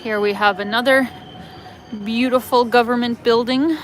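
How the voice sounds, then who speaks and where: A Canadian woman in her thirties, her voice sitting a little high, talks in a loose and rambling way full of fillers. She records on a handheld phone out on city streets, with faint street noise behind her. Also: steady tone, moderate pace. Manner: chatty, rambling, many fillers and laughs. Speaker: travel vlogger. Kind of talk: live walking-tour chat.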